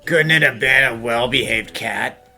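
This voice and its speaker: A sceptical sidekick played by a man with a creaky, very bright, forceful voice. The delivery is dry cartoon game dubbing, with music behind. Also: mid-range voice, a little slow, exaggerated voice. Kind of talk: fan dub game voice acting.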